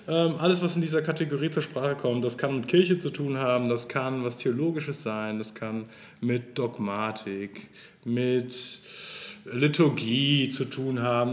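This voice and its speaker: A man's voice: medium pitch, reverberant. Rambling man in his thirties. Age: 30s. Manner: laughing easily, rambling, restarts mid-sentence. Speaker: sexton and podcast co-host. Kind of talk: casual chat.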